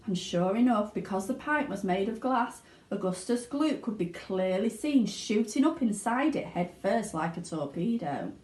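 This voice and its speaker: A woman reading a children's story aloud with dramatic, expressive narration. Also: medium pitch, normal speed, hardly any pauses. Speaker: storybook reader, school teaching assistant. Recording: roomy indoor echo.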